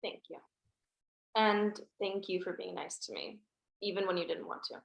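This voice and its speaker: A woman's voice: medium pitch, moderate pace, creaky, reverberant, dull audio, natural voice. A woman playing an earnest, forgiving, wry fifteen-year-old girl in a drama reading. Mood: grateful.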